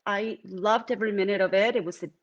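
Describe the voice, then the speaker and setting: A woman's voice: medium pitch, fairly even intonation, fast talker, muffled recording. A middle-aged woman from Venezuela with a Venezuelan Spanish accent, giving a live artist talk from her studio. She speaks reflectively, with many fillers and restarts. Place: home art studio.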